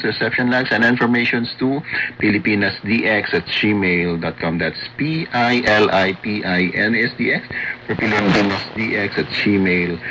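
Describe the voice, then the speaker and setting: A Filipino man with a fairly low voice reads a scripted report for radio hobbyists. His delivery is methodical, moving item by item. The sound is a noisy shortwave reception with clear static around the voice. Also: bright, forceful tone. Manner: methodical, enumerating.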